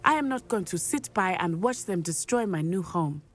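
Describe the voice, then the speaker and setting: A woman's voice: fairly low pitch, animated, normal speed, a bit bright. An actress playing an earnest young female student in a video game, from Matabeleland, speaking formally and measuredly. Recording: game audio with faint music.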